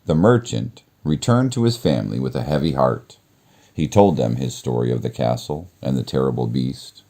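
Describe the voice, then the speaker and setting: A man reading a children's story aloud as narrator, in a measured, expressive storytelling manner. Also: extremely low pitch. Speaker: storybook reader.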